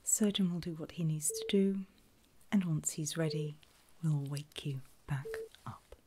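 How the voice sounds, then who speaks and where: A woman whispering in a breathy, gentle and reassuring way, unhurried, as a nurse in an ASMR medical roleplay. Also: low voice, background noise. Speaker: ASMR performer playing a surgical nurse.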